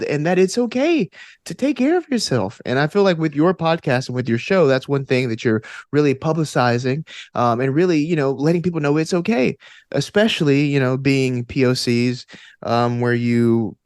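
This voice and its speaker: A man's voice: fairly high pitch, expressive, normal speed, a little mellow, no echo, clean studio sound. A man speaking as a podcast guest in casual, reflective conversation, earnest and encouraging, often repeating phrases for emphasis. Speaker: podcast guest, actor and game master.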